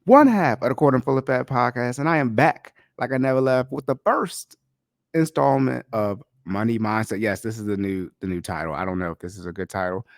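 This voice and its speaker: A man's voice: medium pitch, brisk, dry recording, clean studio sound. A man hosting a casual podcast, talking in a loose, slangy way, a little congested and nasal from a cold. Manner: loose, chatty, slangy delivery. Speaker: podcast host. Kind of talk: casual solo podcast talk. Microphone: podcast microphone.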